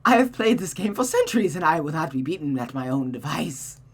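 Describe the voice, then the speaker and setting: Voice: mid-range voice, sing-song, fairly quick. A streamer playing a proud, defiant, centuries-old being in a visual novel, declaiming with emphatic wrath. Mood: furious, proud.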